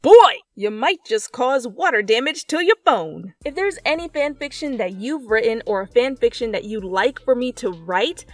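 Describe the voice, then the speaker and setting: A woman hosting her channel with casual, animated chat to followers, bubbly, jokey and theatrical. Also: on the high side, no room sound. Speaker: channel host.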